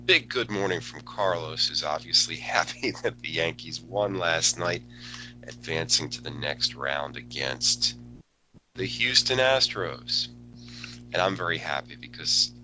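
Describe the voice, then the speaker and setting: Slow, deep-voiced male market educator, bright tone, easygoing and rambling, just over a cold; faint hum. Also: rough recording. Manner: casual, rambling, many fillers and restarts. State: recovering from a cold, voice a bit lower. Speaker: forex educator hosting a webinar. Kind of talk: live market analysis webinar.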